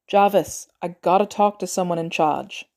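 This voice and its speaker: A woman voicing a gruff male soldier on the run in an audiobook, speaking bluntly in clipped, urgent phrases. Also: fairly low pitch, moderate pace, darkish tone. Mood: wary.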